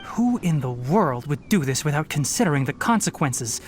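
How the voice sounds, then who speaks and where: A man's voice: fairly high pitch, very animated, moderate pace. A man voicing a composed, analytical young male forest ranger and scholar in a video game. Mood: calm. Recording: game audio with faint music.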